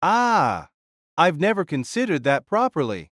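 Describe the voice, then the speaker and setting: A man voicing a boisterous, carefree warrior king who makes loud, hearty proclamations. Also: a little high, huge pitch swings, unhurried, no room sound, studio quality, exaggerated voice. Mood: nonchalant. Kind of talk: audiobook character voice.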